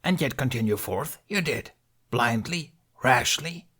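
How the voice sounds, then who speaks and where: A man voicing an ancient, small alien master in an audiobook, with a croaky, strained voice and grave, inverted phrasing. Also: medium pitch, animated, sharp tone, pitched above the performer's own voice, exaggerated voice. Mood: accusing. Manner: inverted word order, grave and deliberate. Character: ancient small green alien Jedi master, stern, inquisitive.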